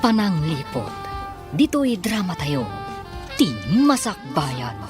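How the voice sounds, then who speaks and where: Female announcer, radio drama, scripted dramatic delivery, animated intonation, slightly low pitch, prominent music bed. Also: slow.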